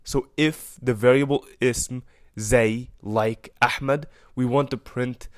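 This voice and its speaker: A young man with an Egyptian accent explains his coding project in a relaxed, casual way, slipping in fillers and restarting now and then. His pitch sits in the middle range. The recording is dry and close, with no room sound. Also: slow pace, no echo. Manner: relaxed, with fillers and self-corrections.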